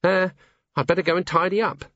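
A man's voice: high voice, fairly even intonation, a little fast, a bit bright, dry recording, muffled recording, pitched above the performer's own voice. A man voicing an anxious, bitter young female dwarf, hesitant and plaintive, in a storybook reading. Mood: flustered.

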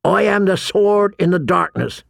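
A man's voice: high voice, animated, a little slow, creaky, no room sound, studio quality, voice pushed up, heavily acted. A man voicing a commanding young ringleader of boy recruits in an audiobook, challenging and intoning.

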